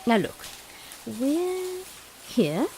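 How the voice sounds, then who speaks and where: Actress as whimsical time-traveller, higher female voice, very animated, wry, observant, audio drama, faint rainy noise. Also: very slow, heavily acted, low-quality recording. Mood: jolly, brisk.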